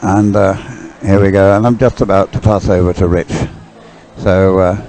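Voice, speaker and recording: Older man, low-pitched, musing sports commentator. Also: flat delivery, normal speed, dark tone, low-quality recording. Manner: hesitant, musing, explanatory. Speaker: race commentator. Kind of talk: live sports commentary, unscripted.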